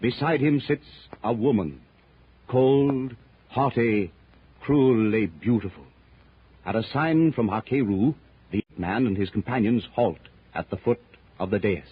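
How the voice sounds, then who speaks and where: A male narrator with a very husky, mid-pitched voice reads in the theatrical manner of 1930s radio. His delivery is measured and portentous, and the old recording is muffled. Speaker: narrator of an adventure serial.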